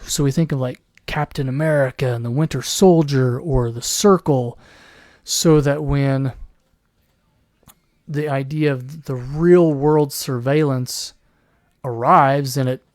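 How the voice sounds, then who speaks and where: Hoarse man rambling on a podcast. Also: medium pitch, slow, long pauses, a little mellow, no echo, studio quality. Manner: rambling, with restarts and throat clearing; mocking put-on voices in quoted asides. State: losing his voice. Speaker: podcast host. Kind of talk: solo commentary podcast.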